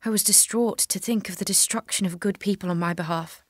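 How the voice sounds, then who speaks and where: A woman's voice: medium pitch, a little fast, no room sound, clean studio sound, lightly acted. A woman playing a devout, resolute young peasant prisoner in an audio drama, earnest, plain-spoken and guarded. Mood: solemn testimony.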